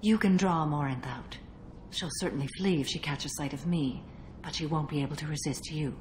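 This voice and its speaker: A woman playing a solemn, ancient alien warrior in a video game, speaking in a measured, formal, grave manner. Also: sits low, slight background noise, close to an everyday voice. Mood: grave, intent. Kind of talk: video game cutscene acting. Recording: echoey hall, loud music behind.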